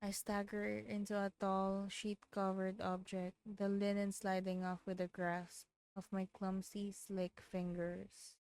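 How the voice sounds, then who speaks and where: A woman game streamer performing a visual novel in a theatrical, expressive way. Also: medium pitch, a little slow, few pauses, clean tone. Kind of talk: dramatic reading of visual novel narration with casual reactions. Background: faint game music.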